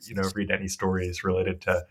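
A man's voice: low-pitched, completely flat delivery, a little fast. A man hosting a book interview podcast, talking in a hesitant way with lots of fillers and restarts. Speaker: podcast host.

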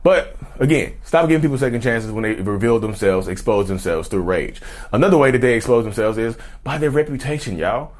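A man's voice: mid-range voice, expressive, a little fast, darkish tone. A man talking casually to camera, chatty and rambling, who keeps calling his viewers y'all and wanders off into sidebars. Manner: repeats and restarts, drifts into sidebars. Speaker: YouTuber talking about narcissism. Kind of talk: casual direct-to-camera talk.

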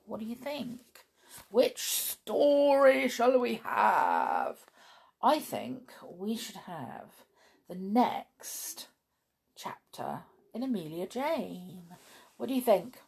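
Slow, gravelly-voiced woman storyteller. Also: lowish voice. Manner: chatty, reads out listener messages, many ums. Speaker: storyteller and podcast host. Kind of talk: chatty bedtime story reading.

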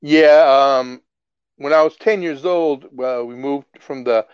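A middle-aged man from the Philippines, a guest in a casual interview, telling stories with lively excitement. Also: mid-range voice, takes it slowly, clean tone, dry recording, dull audio. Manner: animated storyteller, gets excited and laughs while telling stories. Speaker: guest, music artist.

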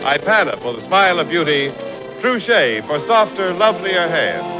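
A man's voice: fairly high pitch, sing-song, moderate pace. A man announcing a scripted sponsor message in the style of 1940s radio advertising. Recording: dull 1940s radio recording. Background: music.

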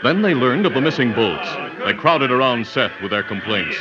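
A man's voice: mid-range voice, very animated, moderate pace. A man narrating a western radio drama in a scripted 1940s-50s style. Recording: dull old radio recording. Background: music.

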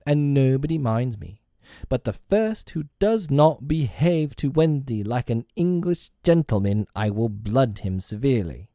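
Casting: small silly lad played by a man being grandly dignified, raised pitch, solemn storybook hero. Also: expressive, unhurried, mellow, no echo, clean studio sound. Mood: grand defiance. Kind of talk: children's storybook narration.

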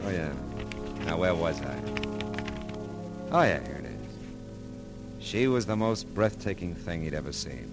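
A deep-voiced American private eye from an old radio drama. He is tough yet soft-hearted, talking in clipped, wry slang with a world-weary air, with music behind him. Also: sing-song, unhurried, long pauses, exaggerated voice. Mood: wry, musing. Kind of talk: 1940s-50s American radio detective drama, first-person narration.

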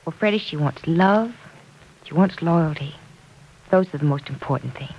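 An actress playing a gentle, sensible, sympathetic woman friend who reassures tenderly and in measured words in an old radio drama. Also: low voice, muffled recording. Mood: gentle, sincere. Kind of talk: 1940s radio drama acting. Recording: old radio recording with background noise.

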